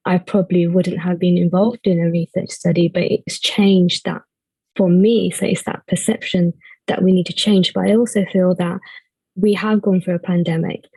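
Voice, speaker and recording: A woman speaks earnestly as a patient on a webinar panel, and "like" keeps slipping into her sentences. Her voice is low, gravelly and a little husky. The sound is dry and close. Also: warm tone, dry recording, rough recording. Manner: earnest, conversational, says "like" often.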